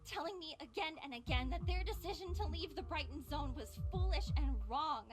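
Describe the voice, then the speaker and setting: A woman's voice: sits high, lively intonation, brisk. A woman voicing a young idealistic nurse in a video game, sweet yet fiercely resolute, speaking with earnest, defiant emphasis. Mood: accusing, resolute. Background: game music.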